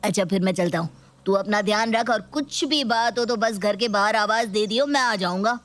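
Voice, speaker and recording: A woman's voice: mid-range voice, moderate pace, natural voice. A woman voicing a cocky, protective young male thug with a blunt, bragging manner in a story video. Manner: blunt bragging with a repeated gun catchphrase. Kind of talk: dramatised Hindi story video.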